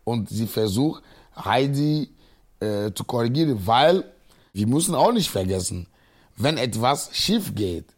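A non-native man informally explaining a story character, thoughtful and halting in his phrasing. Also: medium pitch, animated. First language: not German. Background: musical instrument.

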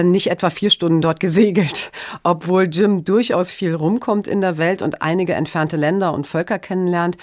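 Low-voiced woman explaining thoughtfully. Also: no echo, studio quality. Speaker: interviewed guest from the radio play production. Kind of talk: explanatory interview talk.

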